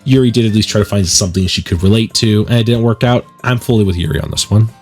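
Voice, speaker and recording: A man's voice: on the low side, fast, darkish tone, faint music behind. A man hosting a gaming video, giving conversational, opinionated let's play commentary and reading out narration. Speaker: gaming video host and musician.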